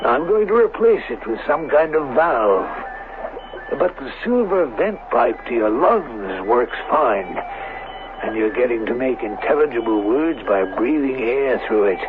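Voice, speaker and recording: An actor playing a proud, obsessive middle-aged scientist in a radio drama, speaking in a deliberate, lecturing and coolly clinical manner. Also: male voice, mid-range voice, lively intonation, lightly acted. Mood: proud, instructive. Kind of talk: 1970s radio drama acting. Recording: muffled, hissy old radio broadcast.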